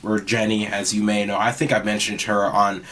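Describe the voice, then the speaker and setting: A young man hosting his own podcast, talking in a loose, rambling way with lots of "like" and "you know", in a raspy, mostly monotone voice. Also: on the low side, normal speed. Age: young adult. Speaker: podcast host. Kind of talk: casual rambling monologue.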